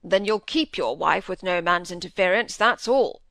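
A woman voicing a gruff, hot-tempered old country squire in an audiobook, blustering and emphatic, with rustic old-fashioned phrasing. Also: fairly low pitch, moderate pace, a bit bright, no room sound. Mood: angry, commanding. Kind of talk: audiobook character dialogue.

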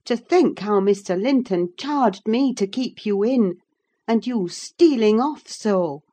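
A woman's voice: mid-range voice, lively intonation, a little slow, darkish tone, pitched above the performer's own voice. A woman playing a stern middle-aged housekeeper who scolds a runaway girl. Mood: scolding. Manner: scolding, firm.

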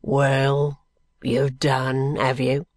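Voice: medium pitch, measured pace, gravelly, breathy edge, no echo, clean studio sound, pitched below the performer's own voice. A narrator voicing a surly, drunken working-class brickmaker, blunt and morose, in dialect speech, as in an audiobook. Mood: sullen. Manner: dialect speech, blunt, self-answering rhetorical questions.

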